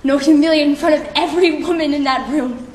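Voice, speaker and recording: A young woman playing a flustered, insecure student making a halting, self-conscious speech on stage. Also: sits high, a little mellow, lightly acted. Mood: embarrassed, unhappy. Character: flustered, insecure schoolgirl giving an unprepared speech. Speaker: student actor. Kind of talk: theatrical stage monologue. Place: theatre workshop performance space. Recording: echoey room from far off, faint noise.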